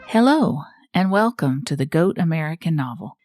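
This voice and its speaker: Prim, low-voiced goat podcast host played by a woman. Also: animated, normal speed, music in the background. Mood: mock-formal. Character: fussy, indignant goat reporter hosting a podcast. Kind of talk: scripted podcast introduction, theatrical.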